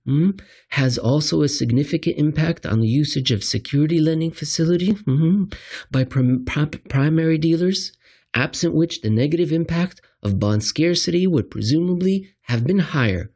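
Man putting on a halting, higher-voiced academic. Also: lively intonation, a little mellow, breathy edge. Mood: musing, halting. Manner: halting, with yeahs and hums.

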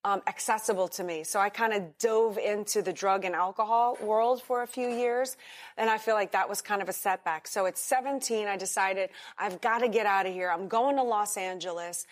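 An American woman giving an interview as a guest, talking candidly about her past. She pauses often and restarts her sentences with fillers. Her voice sits at an ordinary middle pitch. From United States. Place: hotel in Singapore.

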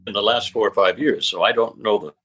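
A man's voice: fairly low pitch, fairly even intonation, fast talker, noisy background. A man chatting as a guest, hesitant with many restarts as he explains. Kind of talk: casual chat.

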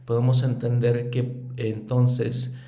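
A man's voice: mid-range voice, completely flat delivery, takes it slowly, warm tone, echoey room. A middle-aged man giving a reflective religious lesson, earnest and thinking aloud as he speaks. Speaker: scripture study teacher.

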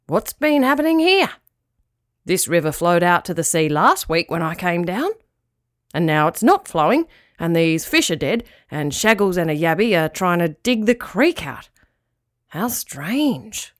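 A woman acts out a kindly storybook man, the master of a dog. He talks in a puzzled, coaxing way with animated rises and falls, in a dry recording. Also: fairly low pitch, measured pace, no room sound. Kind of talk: children's storybook character voice.

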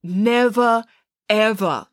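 A woman's voice: medium pitch, big pitch swings, normal speed, a little mellow, slightly rough, no room sound, studio quality, pitched above the performer's own voice. A woman voicing a blunt, puzzled schoolgirl with a frank, questioning manner in a storybook reading. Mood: firm.

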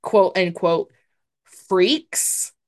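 A young adult woman with a deep voice for a woman, co-hosting a podcast in chatty casual talk full of fillers. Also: extremely slow. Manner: chatty, hedging with many fillers. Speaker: podcast co-host. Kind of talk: casual chat.

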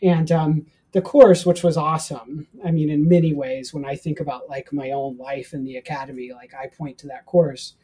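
A man's voice: fairly high pitch, fairly even intonation, normal speed, few pauses, warm tone, breathy edge, poor audio. An American man in his forties speaking casually as an interview guest, telling personal anecdotes in a self-deprecating way. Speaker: guest, professor of ancient Christianity.

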